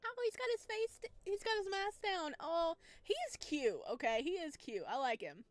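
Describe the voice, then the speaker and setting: A woman giving casual, expressive and playful let's-play commentary as she reacts to a visual novel. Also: extremely high pitch, moderate pace, no echo. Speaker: gamer playing a visual novel. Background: music.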